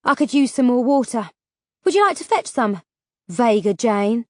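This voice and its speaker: A woman's voice: on the high side, sing-song, moderate pace, dry recording, clean studio sound. Woman playing a cheeky, bold young woman. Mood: coy, needling. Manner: cheeky, colloquial. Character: teasing, bold young woman, rough-edged forest survivor.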